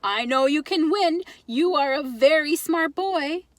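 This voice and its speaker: A woman's voice: high voice, unhurried, dry recording, pitched above the performer's own voice. A woman voicing an earnest, enthusiastic father who reads the newspaper aloud emphatically in a children's storybook.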